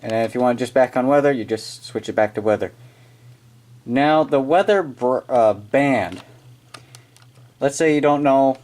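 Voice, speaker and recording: An American man giving a casual, halting, off-the-cuff hands-on product demonstration as he unboxes it on camera. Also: mid-range voice, a little mellow. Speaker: amateur unboxing video maker. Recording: noisy amateur room recording with clicking.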